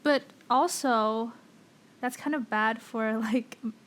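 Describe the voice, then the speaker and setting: Slow-talking young woman losing her voice. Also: on the high side, steady tone. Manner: unhurried, says "like" a lot. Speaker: podcast co-host. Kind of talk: casual podcast chat.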